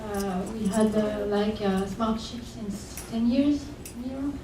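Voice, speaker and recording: A woman's voice: medium pitch, slow, noisy background. A woman from France speaking as a panelist, hesitant with many fillers. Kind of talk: panel discussion. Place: conference room. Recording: echoey room, voice from far off.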